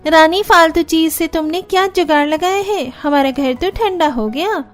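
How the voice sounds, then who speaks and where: A woman acts out a helpful young sister-in-law from a humble cartoon household. The voice sits very high and sounds earnest. Soft music plays behind it. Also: normal speed. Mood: pleased. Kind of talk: Hindi cartoon story dialogue.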